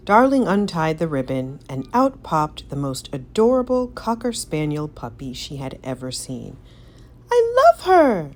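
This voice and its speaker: A woman narrates a children's story in a low voice. Her reading is expressive and dramatic, swinging widely in pitch to mark each turn. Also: normal speed, hardly any pauses. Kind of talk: children's read-aloud narration.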